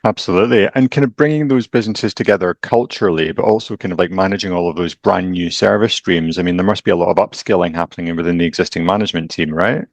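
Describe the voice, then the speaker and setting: A man hosts an interview, talking quickly in a very deep, gravelly voice. The sound is close and dry, and he keeps things upbeat and chatty. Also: no echo. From Glasgow, Scotland. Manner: upbeat, chatty, lots of fillers. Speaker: interviewer, host. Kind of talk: casual interview hosting.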